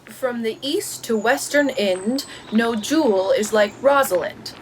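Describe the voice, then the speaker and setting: A woman's voice: fairly high pitch, measured pace, close to an everyday voice, poor audio. A woman playing a young heroine of a Shakespeare comedy, speaking verse in a radio play. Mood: savoring. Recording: faint background noise.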